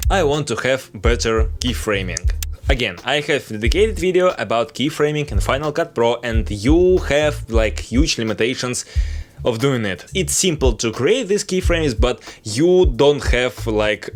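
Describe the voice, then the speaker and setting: Russian-accented man ranting about editing software. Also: mid-range voice. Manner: frustrated, frequent laughs and fillers. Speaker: video editing YouTuber. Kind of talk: opinionated tech vlog rant.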